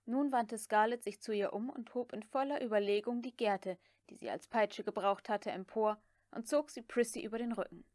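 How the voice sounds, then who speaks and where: Audiobook narrator: woman with a higher-pitched voice and dry sound, reading literary fiction from a script. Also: normal speed, few pauses, a little mellow, no echo.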